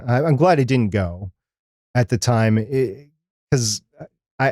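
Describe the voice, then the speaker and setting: A man's voice: medium pitch, darkish tone, no room sound, studio quality. A middle-aged man co-hosting a podcast, talking casually and unscripted in a rambling, conversational way. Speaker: podcast co-host. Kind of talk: casual unscripted live chat.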